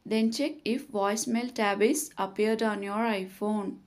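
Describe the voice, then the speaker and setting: A woman narrates a scripted tutorial with a medium-pitched voice. Her delivery is very slow and measured, setting out each instruction step by step. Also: fairly even intonation, darkish tone.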